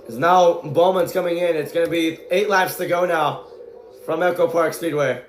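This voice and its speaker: High-voiced excitable male race commentator. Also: normal speed, echoey room, slight background noise. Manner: excitable, often shouting. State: voice going hoarse from strain. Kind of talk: excited live play-by-play commentary.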